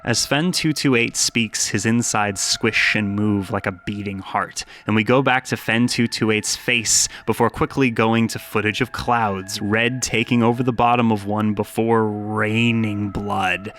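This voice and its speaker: A man with a fairly low voice narrates a scripted analysis like a storyteller. He is expressive yet casual in his phrasing. A faint layer of ambient noise sits behind him. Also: unhurried, hardly any pauses. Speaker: video essayist and narrator.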